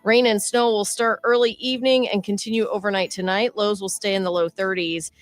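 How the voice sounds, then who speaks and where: A woman's voice: medium pitch, fairly even intonation, no room sound. Woman reading a weather forecast over music. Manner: brisk, read forecast. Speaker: chief meteorologist.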